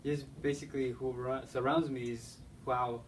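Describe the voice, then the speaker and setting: A man's voice: medium pitch, level tone, moderate pace. A young man whose first language is Spanish, speaking English with a Spanish accent, answering interview questions hesitantly with many fillers and restarts. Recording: distant mic, faint background noise.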